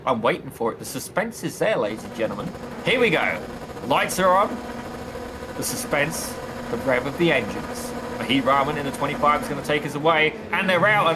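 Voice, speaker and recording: Male lead race caller, showy and excitable, higher voice, noisy recording. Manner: excitable, theatrical, keeps saying "ladies and gentlemen" and "indeed". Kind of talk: sim racing play-by-play commentary. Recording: noticeable background noise.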